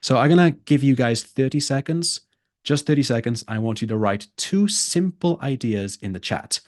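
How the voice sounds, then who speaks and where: A man's voice: medium pitch, animated, moderate pace, a little mellow, dry recording, studio quality. A man who tutors for a test, teaching a live online lesson and repeating his key points for emphasis.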